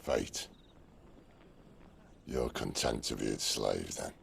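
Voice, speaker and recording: An actor playing a wry, gruff outlaw leader in a game cutscene, with a gravelly, sardonic drawl. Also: male voice, very deep voice, slow, a little hiss or hum, heavily acted.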